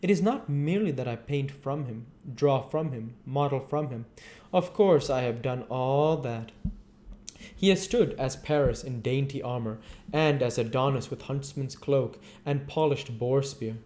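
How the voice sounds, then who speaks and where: A man voicing an earnest, devoted, brooding gentleman painter from a novel, with an intense and sincere manner. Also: medium pitch, unhurried, close to an everyday voice.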